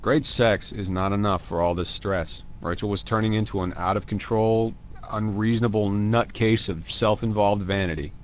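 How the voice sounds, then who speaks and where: A man's voice: on the low side, fairly even intonation, moderate pace, few pauses, a little hiss or hum, lightly acted. An actor playing a blunt, defensive male murder suspect in a video game, speaking in a curt, detached way.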